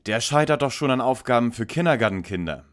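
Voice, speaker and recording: A man's voice: medium pitch, animated, quick pace, fairly bright tone, close to an everyday voice. A man playing a self-assured, lecturing young detective leader in an audio drama parody. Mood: smug, lecturing. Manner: precise, pompous, lecturing.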